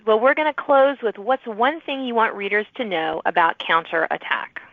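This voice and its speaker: A woman's voice: medium pitch, lively intonation, moderate pace, no echo. Female podcast interviewer, conversational delivery, narrow telephone-grade audio, dry. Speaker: podcast host. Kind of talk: interview with authors. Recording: thin phone-like sound.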